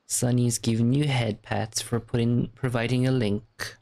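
A man's voice: medium pitch, little pitch movement, measured pace, gravelly. A man streaming a game, giving casual commentary and reading dialogue aloud. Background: soft game music.

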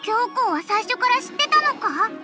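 A woman voicing a tiny, excitable, childlike fairy companion in an anime game, with a squeaky voice and blunt manner. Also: normal speed, over loud music. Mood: alarmed.

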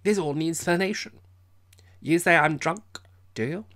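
Man acting high-pitched, pompous comic drunk. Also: moderate pace, a bit bright, lightly acted. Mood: indignant. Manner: portentous, insistent, slightly stumbling speech. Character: drunken middle-aged man, solemn, indignant, pompous, comic. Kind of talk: audiobook character voice.

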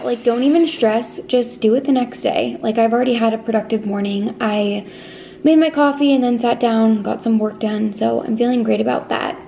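A woman in her twenties talks informally to her vlog viewers in a slightly scratchy, fairly high voice with plenty of vocal fry. She peppers her chat with "like" as a filler. She is recorded indoors at home, with faint ambient noise behind her. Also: mellow, highs cut off. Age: 20s. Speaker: lifestyle vlogger.

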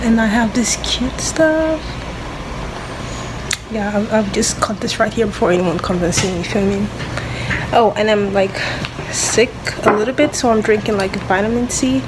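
A young woman in her twenties, an international student, vlogging casually into a phone held at arm's length, chatty and upbeat with lots of fillers, her voice hoarse from a cold. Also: fairly high pitch, background noise. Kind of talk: casual vlog narration. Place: outdoors on campus, phone in hand. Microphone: phone camera at arm's length.

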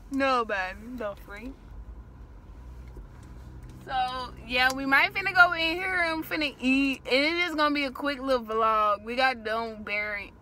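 High, bright young woman, slangy and teasing. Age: young adult. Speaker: vlogger. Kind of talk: casual vlog chat with playful bickering. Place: inside a parked car. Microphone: phone camera at arm's length. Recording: noisy phone recording in a car.

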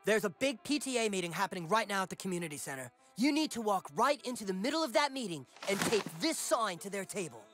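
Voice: medium pitch, very animated, quick pace, few pauses, over music, close to an everyday voice. An actor voicing a sardonic, disdainful goth kid in a cartoon video game, sarcastic and commanding. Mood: bossy.

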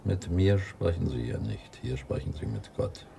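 Brusque priest in a radio play, really deep and croaky, bit of noise. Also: male voice, sing-song, normal speed, warm tone, heavily acted. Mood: stern, impatient. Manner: brusque, reproving. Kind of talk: radio drama dialogue.

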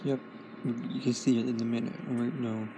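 A young man casually narrating his own home toy video, quietly and plainly. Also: medium pitch, flat delivery, moderate pace, background noise.

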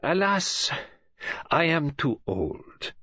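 A man voicing a fastidious elderly foreign detective who speaks in a slow, formal, precise way and uses French phrases. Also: mid-range voice, big pitch swings, fairly bright tone, husky, no room sound, voice pushed up, full character voice. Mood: mournful. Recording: dull lo-fi audiobook recording.

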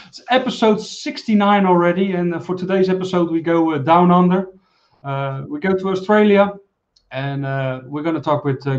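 A man in his forties hosting a live stream interview over a video call, talking in a friendly, halting way with many fillers. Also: fairly high pitch, dull audio. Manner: friendly, halting, many uhs, laughs easily. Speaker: host. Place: small room, indoors.

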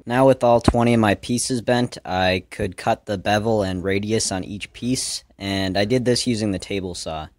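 A man's voice: on the low side, moderate pace, hardly any pauses, dry recording. A man narrating a scripted woodworking how-to in a plain, matter-of-fact, step-by-step way. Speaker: woodworker, video host.

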